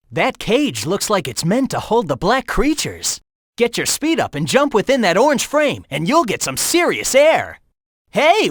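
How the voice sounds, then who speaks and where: A man's voice: high voice, no echo, studio quality, exaggerated voice. An actor voicing a cocky, upbeat young male hedgehog hero in a video game, giving breezy, encouraging hints.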